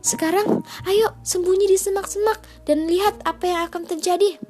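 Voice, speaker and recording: A woman's voice: very high voice, fairly even intonation, moderate pace, a little mellow, faint music behind, pitched above the performer's own voice. A woman voicing a loving, anxious mother sheep in a storybook tale, with an emotional, motherly manner. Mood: conspiratorial.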